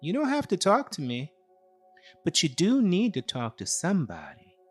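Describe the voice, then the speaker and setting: A man's voice: sits high, huge pitch swings, moderate pace, slightly rough, faint music behind, voice pushed up, exaggerated voice. A man voicing a kindly, firm neighbor woman in her fifties, reassuring and plain-spoken in a narrated story. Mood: gently insistent.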